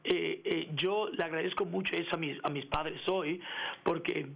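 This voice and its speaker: A middle-aged man in a radio interview, speaking haltingly with many fillers and restarts and slipping into English words. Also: on the high side, steady tone, gravelly, dull audio. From United States. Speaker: interview guest, engineering executive. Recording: radio broadcast.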